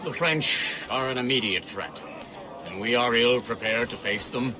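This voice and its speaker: An actor playing an eloquent, self-assured colonial statesman in a video game cutscene, oratorical and measured. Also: mid-range voice, close to an everyday voice, rough recording. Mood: rousing.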